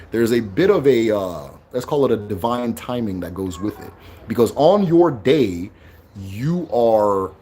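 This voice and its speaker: Man, loud emphatic voice, casual livestream teaching, faint background noise. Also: lowish voice. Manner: loud, emphatic, keeps asking "right?". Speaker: livestream host teaching spirituality.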